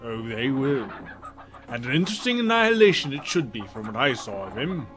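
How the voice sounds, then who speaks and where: A man playing a sardonic, coolly menacing former high priest in an audio drama, with ominous, theatrical phrasing. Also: big pitch swings, fairly quick, over music, close to an everyday voice. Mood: cool, official.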